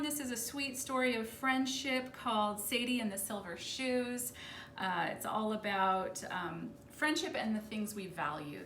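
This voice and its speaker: A woman's voice: mid-range voice, a bit bright. Lady librarian chatting about kids books, casual, roomy echo, bit of background noise. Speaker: librarian presenting children's books. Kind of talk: casual presentation to camera.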